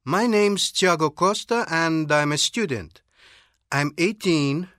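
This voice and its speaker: A friendly young male student who introduces himself in a slightly high, bright, forward tone. He speaks slowly and carefully, one phrase at a time. The studio is dry and close. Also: lively intonation, no room sound. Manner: slow, careful, clearly spoken. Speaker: course audio actor. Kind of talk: scripted language-course dialogue.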